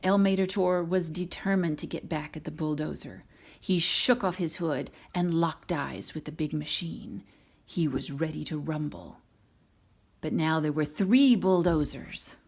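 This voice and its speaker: A woman reading a children's storybook aloud in an expressive narrating style. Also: low-pitched, a little slow, breathy edge. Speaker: storybook reader.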